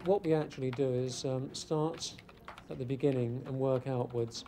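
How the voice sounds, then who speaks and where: A detective explains his work in a news interview. The man's voice is soft-edged and mellow, with faint office noise on the archive recording. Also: mid-range voice, fairly even intonation, moderate pace. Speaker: police detective.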